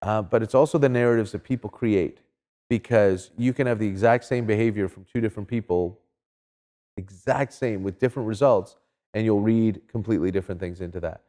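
Male sports pundit, middle-aged, dark relaxed tone, emphatic, reverberant room. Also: on the low side, fairly quick. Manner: emphatic, checks in with "right?". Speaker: sports commentator guest. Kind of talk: casual opinionated sports talk. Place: small indoor studio set with couches.

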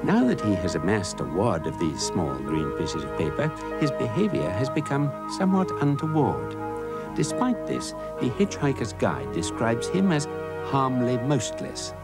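A British man reading scripted, tongue-in-cheek documentary narration in a deadpan, measured way. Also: mid-range voice. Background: loud music.

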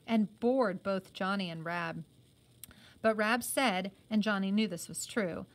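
A woman teacher reading a novel aloud expressively, adding explanatory asides. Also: fairly high pitch.

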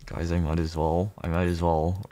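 A man livestreaming a game, chatting casually with viewers, swearing freely, repeating himself and thinking out loud. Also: low-pitched, flat delivery, normal speed.

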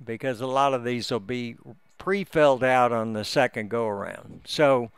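A man giving an unscripted, step-by-step screen-share tutorial as a hobbyist, in a halting, explanatory manner. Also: mid-range voice, clean tone, no room sound. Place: indoors, close dry voice-over for a screen recording.

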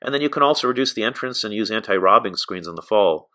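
Bright-voiced middle-aged American man teaching. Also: fairly low pitch, animated, fast. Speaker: beekeeping instructor. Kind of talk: informal narrated slide lesson.

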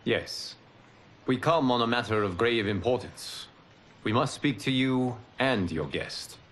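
An actor playing a calm, formal male god of fire and protector in video game cutscenes. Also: mid-range voice, a little slow, slight background noise, lightly acted. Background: music.

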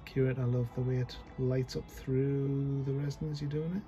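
A chatty man talks through a fly tying tutorial at his desk, joking at his own expense and thinking aloud as he goes. His voice sits at a middling pitch. Music plays clearly underneath. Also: flat delivery, moderate pace, dark tone. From North East England. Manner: chatty, self-deprecating, thinks aloud while working. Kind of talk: casual off-the-cuff tutorial.

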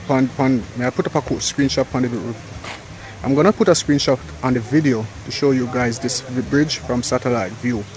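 A young Jamaican man vlogging on a rural road into a handheld phone, chatty and calling his viewers peeps and guys. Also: medium pitch. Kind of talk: walking vlog and street interview. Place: outdoors on rural hillside road. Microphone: handheld phone camera. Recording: outdoor phone audio with clear background noise.

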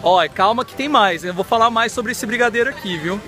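Brazilian male vlogger, 30s, high bright voice, chatty and excited, phone mic outdoors with music under it. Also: fairly quick, crowd noise. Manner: chatty, excited, lots of "né?" tags. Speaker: vlogger host. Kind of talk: upbeat vlog chat. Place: outdoors in a city park. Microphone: phone at arm's length.

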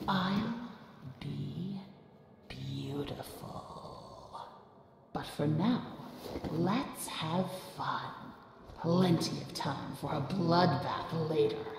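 An actress voicing a sadistic, sickly-sweet female cartoon angel villain with a gravelly edge, in an echoing hall. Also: lowish voice, background noise, heavily acted. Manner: sickly-sweet, mocking, calls the listener honey. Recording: echoey reverberant hall, faint ambience.

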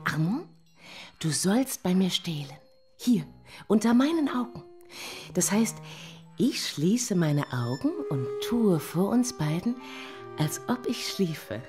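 Radio drama: wealthy amorous lady, rapturous and breathy, low-pitched, very expressive. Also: slow pace, long pauses, soft background music. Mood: conspiratorial, excited. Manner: breathy, rapturous, affected, peppered with French. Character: wealthy, theatrical, amorous married lady, thrilled by thieves. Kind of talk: radio play acting.

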